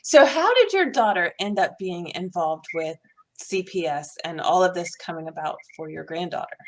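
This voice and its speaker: A woman's voice: lowish voice, expressive, normal speed. Upbeat woman podcast host. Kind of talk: interview podcast hosting.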